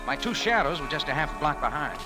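Tough, wry American adventurer, fast clipped delivery, 1940s radio noir with loud music behind. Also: male voice, on the high side, big pitch swings. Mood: hard-boiled narrating. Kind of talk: 1940s hard-boiled radio drama narration and acting.

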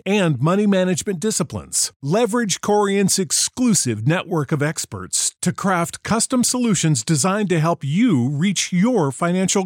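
A man's voice: a little high, dry recording, studio quality. A man reading a scripted advertisement as an announcer. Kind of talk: scripted ad read.

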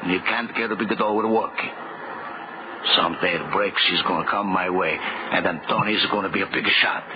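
An actor playing a brash, scornful, money-hungry immigrant diver with gruff, mocking broken English, in 1930s radio drama style. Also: male voice, low voice, steady tone, brisk, close to an everyday voice. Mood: bitter, grasping. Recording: noisy, muffled old radio recording.

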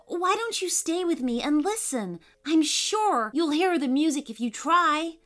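Woman doing a happy little girl for a kids story, high n sweet, super lilting. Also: lively intonation, measured pace, a bit bright. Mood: happy, earnest. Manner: sweet, earnest. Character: cheerful, dreamy, insistent little girl.

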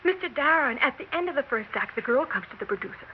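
High-pitched, nervy young American woman. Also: animated, fast. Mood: insistent, persuasive. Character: nervy, determined young woman playwright pitching her melodrama. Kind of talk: 1960s American radio drama acting. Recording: muffled 1960s radio recording, noticeable hiss.

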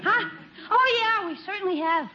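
High-voiced cheeky young boy. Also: moderate pace, pushed and bright, some chatter behind, heavily acted. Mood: impatient, eager. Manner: blunt, eager, childlike. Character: hungry, cheeky, impatient young boy nephew. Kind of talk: 1940s radio situation comedy acting. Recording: muffled, noisy 1940s radio recording.

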